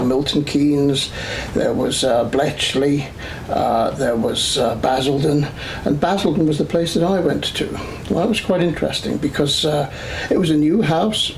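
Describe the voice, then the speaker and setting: Older English-accented man, unhurried. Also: a little high, a little hiss or hum, low-quality recording. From Scotland, raised in England. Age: 60s. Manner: measured, frequent "uh" pauses. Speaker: interviewee. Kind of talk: oral history interview. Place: his home.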